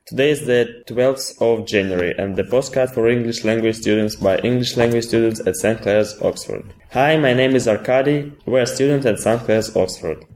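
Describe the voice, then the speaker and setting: A man's voice: mid-range voice, normal speed, hardly any pauses, a little mellow. Man hosting a student podcast. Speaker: podcast host.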